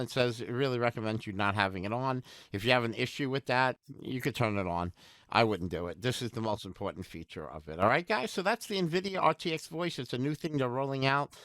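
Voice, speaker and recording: Male tech host, mid-pitched and smooth, casual demo delivery, addresses viewers directly, tends to restate points. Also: fairly bright tone, no room sound. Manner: informal, repeats himself, addresses viewers directly. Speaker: tech and gaming channel host. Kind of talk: casual tutorial demonstration.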